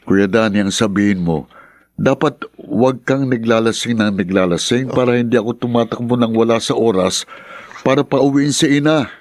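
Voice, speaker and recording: Actor as a blunt, exasperated younger pal, dry sound. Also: male voice, medium pitch, moderate pace, no echo, close to an everyday voice. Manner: blunt, nagging. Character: blunt, exasperated younger male friend, sensible and teasing. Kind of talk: radio drama acting.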